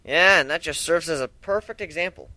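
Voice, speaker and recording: Man playing a bright-voiced, weary young griffin hero. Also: fairly high pitch, brisk, dry recording, pitched above the performer's own voice. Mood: wry, modest. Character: weary, wry young male griffin adventurer, self-deprecating.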